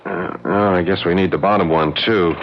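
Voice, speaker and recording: An actor playing a brisk, wry young husband in a 1970s radio drama, colloquial and jokey. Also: male voice, low voice, steady tone. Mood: businesslike. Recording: muffled, noisy 1970s radio broadcast.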